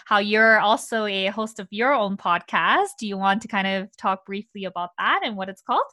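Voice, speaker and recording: A woman's voice: medium pitch, animated, moderate pace, bright, forceful tone, dry recording, clean studio sound. A woman hosting and interviewing on a podcast in a conversational style.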